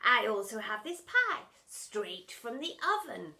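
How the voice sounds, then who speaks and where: A woman's voice: fairly high pitch, big pitch swings, slow pace, vocal fry, sharp tone, exaggerated voice. A woman voicing a villainous old dinner lady in a children's story, mock-sweet and gloating, stretching out her words. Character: villainous old dinner lady, one-eyed, false teeth, gleefully menacing.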